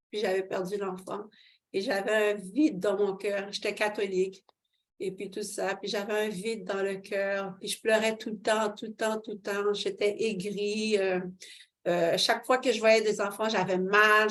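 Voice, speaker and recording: A middle-aged woman, giving a fervent personal faith testimony as an interview guest, with many fillers. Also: mid-range voice. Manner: fervent, expressive, with frequent fillers and laughs.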